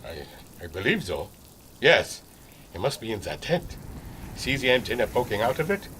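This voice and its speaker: An actor playing a steady male German crew engineer who speaks in a clipped, measured way. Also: mid-range voice, a little hiss or hum, poor audio.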